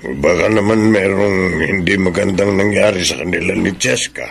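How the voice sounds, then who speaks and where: An actor with a Filipino accent playing a concerned older brother in a radio drama. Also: male voice, low-pitched, moderate pace, vocal fry, breathy edge, exaggerated voice.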